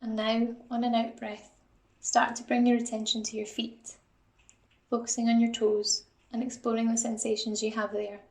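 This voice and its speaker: A woman guiding a mindfulness body scan in a calm, gentle, instructional manner, like a psychologist leading an exercise. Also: on the high side, normal speed, gravelly, reverberant. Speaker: assistant psychologist guiding a meditation.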